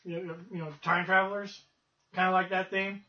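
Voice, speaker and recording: A man's voice: sits high, flat delivery, fairly quick. A man joining a casual chat, hesitant and repeating words as he thinks. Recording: dull, echoey sound from off the mic.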